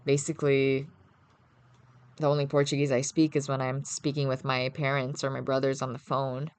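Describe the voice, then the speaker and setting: A woman's voice: very deep voice, flat delivery, moderate pace, a little mellow, clear, resonant tone. A young woman from Brazil, a guest chatting casually in an interview, laughing often between her thoughts. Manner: laughs often, hesitant fillers.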